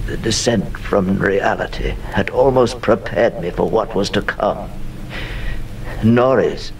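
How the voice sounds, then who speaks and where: An actor playing an older gentleman narrator sinking into madness in a horror audio drama, speaking in literary phrases and halting, repeated words. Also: on the low side, far from the mic, slight background noise, poor audio. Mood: horrified awe.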